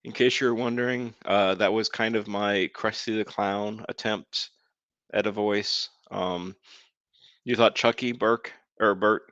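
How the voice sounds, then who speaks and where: A man's voice: on the low side, fairly even intonation, measured pace, fairly bright tone, dry recording. A man streaming a game, chatting casually with his viewers and laughing often. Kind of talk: casual live-stream commentary. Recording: dull lo-fi dry sound.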